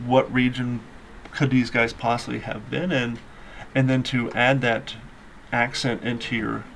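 A man hosting an interview, praising his guests at length and asking long, winding questions. His voice sits at a middle pitch. A light hiss of noise lies under the recording. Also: little pitch movement, unhurried, gravelly, fairly bright tone, poor audio. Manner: talkative, long rambling questions.

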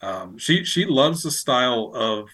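A man's voice: mid-range voice, sing-song, unhurried, fairly bright tone, breathy edge. A man chatting casually as a radio station operator in an interview, rambling and repeating his phrases as he feels for words. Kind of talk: casual podcast interview chat.

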